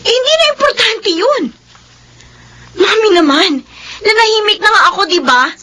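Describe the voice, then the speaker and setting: A woman's voice: very high-pitched, big pitch swings, normal speed, heavily acted. A woman playing a hot-headed, protective daughter in a melodramatic radio drama, emotional and confrontational. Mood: accusing. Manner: emotional, tearful shouting and sharp confrontation. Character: hot-headed, defiant daughter protective of her widowed mother.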